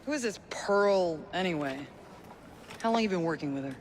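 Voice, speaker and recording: A man's voice: high-pitched, expressive, normal speed, slight background noise. A distrustful, probing Victorian man. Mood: suspicious. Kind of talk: video game cutscene acting.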